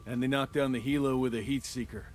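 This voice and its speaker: A man's voice: medium pitch, steady tone, fast, close to an everyday voice. An actor playing a male special forces squad leader giving clipped, matter-of-fact orders. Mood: calm.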